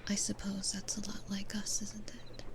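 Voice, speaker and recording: A woman playing a gentle, patient, kindly demon florist in an ASMR roleplay, in a soft breathy whisper with a soothing, nurturing manner. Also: mid-range voice, monotone, lightly acted. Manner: slow, soothing, nurturing, unhurried.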